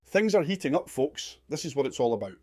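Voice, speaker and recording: A man's voice: mid-range voice, big pitch swings, fairly quick, slightly rough, dry recording, clean studio sound. A man playing an earnest wrestling commentator with florid, dramatic phrasing in a parody radio drama. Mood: earnest hype.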